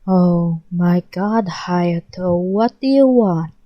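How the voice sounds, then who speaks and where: A woman's voice: fairly low pitch, slow pace, no echo. A woman voicing a cranky, weary small teenage boy who snaps irritably, in a muffled storybook reading. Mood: exasperated. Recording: muffled home recording.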